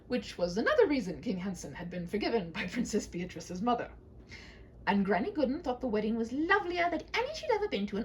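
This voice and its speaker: A woman's voice: medium pitch, a little hiss or hum, low-quality recording. A woman reading a humorous story aloud as a storyteller, whimsical, with conversational asides.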